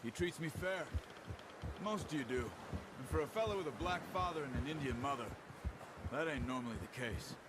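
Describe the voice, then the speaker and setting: An actor playing a calm, watchful, pragmatic outlaw hunter in a video game cutscene, speaking in a measured, matter-of-fact way. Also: male voice, a little high, very noisy, close to an everyday voice. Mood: reflective, sincere.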